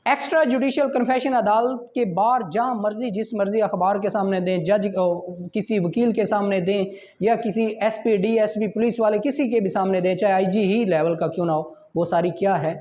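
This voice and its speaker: A man with a Pakistani accent lecturing law students, reading formal passages slowly and deliberately, then explaining them didactically. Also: high voice, steady tone, a little mellow, a little hiss or hum.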